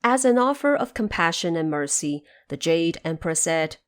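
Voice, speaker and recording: A woman with a slightly low voice narrates a scripted story for an audio drama. Her phrasing is measured and expository, laid out like a storyteller explaining an old myth. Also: animated.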